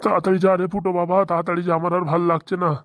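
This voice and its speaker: Cartoon casting: male actor for middle-aged ailing teacher, self-pitying moans, flat intonation, dry studio sound. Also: high voice, very fast, dry recording. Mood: feverish moaning. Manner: moaning, plaintive. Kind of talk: comic cartoon voice acting.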